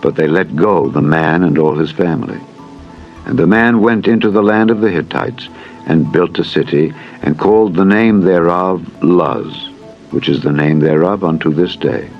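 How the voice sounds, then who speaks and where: A man's voice: deep voice, moderate pace, lo-fi sound. A man narrating a dramatized Bible reading in a solemn, formal manner. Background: music.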